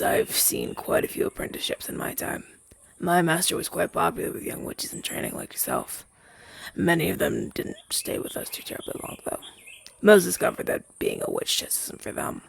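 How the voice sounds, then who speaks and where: A man's voice: a little high, sing-song, normal speed, vocal fry, fairly bright tone, a little hiss or hum, heavily acted. A young man playing a chatty, down-on-his-luck crow demon, once a witch's familiar, in a hesitant, stammering roleplay audio drama. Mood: warm, reminiscing.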